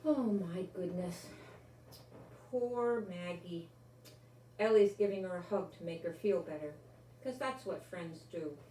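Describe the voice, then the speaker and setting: An older woman reading a children's picture book aloud expressively, pausing to explain the pictures in simple words. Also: fairly low pitch, dark tone. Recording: roomy, distant mic with faint noise.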